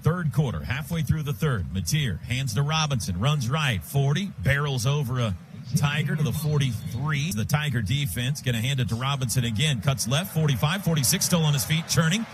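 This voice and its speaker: An American man calling live football on radio as the play-by-play announcer, in rapid, clipped present-tense phrases. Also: mid-range voice, animated. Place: stadium radio booth. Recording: loud stadium noise behind broadcast.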